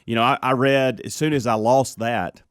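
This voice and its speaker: A man's voice: medium pitch, steady tone, moderate pace, clean tone, no room sound, studio quality. A man on a radio talk show candidly recounting his illness, with a lingering cough. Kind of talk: casual chat.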